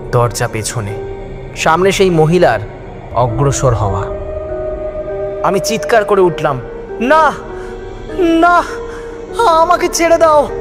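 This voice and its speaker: A man tells a horror tale in the first person with dramatic suspense. His voice sits at a middle pitch. Music plays clearly behind him throughout. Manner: suspenseful, theatrical delivery. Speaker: audio story narrator. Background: background music.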